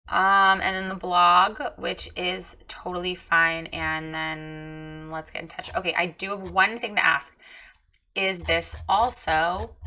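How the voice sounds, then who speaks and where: Woman reviewer, hoarse and froggy, bright forceful delivery, candid coaching tone. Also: fairly low pitch. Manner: chatty, frank, encouraging with filler ums. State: hoarse, recovering from lost voice. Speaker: website reviewer giving feedback. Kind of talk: casual screen-share critique.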